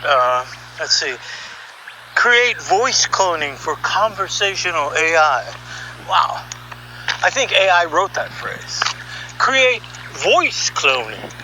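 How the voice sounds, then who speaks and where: A man giving an opinionated, conversational monologue as a podcast host, sardonic and animated, throwing out rhetorical questions. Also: high voice, a little hiss or hum, poor audio. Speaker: podcast host and audio artist. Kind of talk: opinionated monologue, reading article excerpts aloud.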